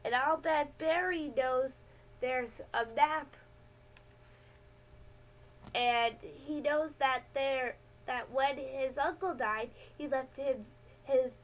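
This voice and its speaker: A woman voicing a bossy, excitable boy detective in a storybook adventure, confident and commanding as he explains things. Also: high voice, slow pace. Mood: confident, deducing.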